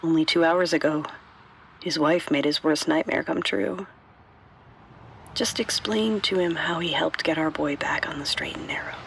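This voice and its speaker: A weary female bank robber confesses in a scripted audio drama. Her delivery is hushed and breathy, with a gravelly creak running through it. A faint noise sits behind her voice. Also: low voice, moderate pace. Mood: cold, wistful. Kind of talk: scripted first-person confession monologue.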